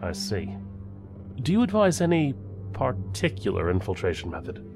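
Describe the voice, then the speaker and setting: An actor playing a reserved, obedient secret agent who speaks tersely and formally in an audio drama. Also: male voice, lowish voice, wildly swooping pitch, moderate pace, gravelly, exaggerated voice. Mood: guarded, dutiful. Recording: music under the voice.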